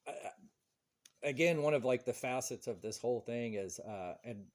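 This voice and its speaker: A man in his forties hosts a relaxed podcast chat. His voice sits at a middle pitch, and he rambles through stories, acting out what other people said. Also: normal speed. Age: 40s. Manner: rambling, storytelling with quoted voices. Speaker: podcast host. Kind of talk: casual chat.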